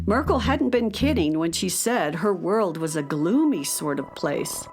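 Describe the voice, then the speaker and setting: A woman's voice: on the low side, expressive, measured pace, darkish tone, breathy edge, soft background music. A woman narrating a scripted children's story as the narrator of an audio drama.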